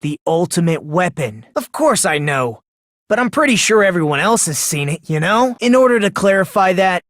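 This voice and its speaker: Game role: teenage boy, courteous yet condescending, self-effacing and quietly unnerving. Dry studio sound. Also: medium pitch, very animated, normal speed, no room sound. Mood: smugly confident. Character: polite, unsettling, self-deprecating teenage boy with condescending streak. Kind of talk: video game voice acting.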